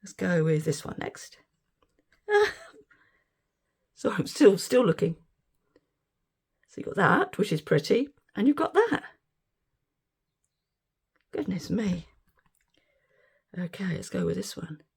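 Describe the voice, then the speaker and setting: A woman's voice: medium pitch, very animated, slow pace, vocal fry, long pauses, darkish tone, breathy edge. A middle-aged woman chatting casually through a resin craft demonstration, giggly and easily delighted, while losing her voice. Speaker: resin art vlogger.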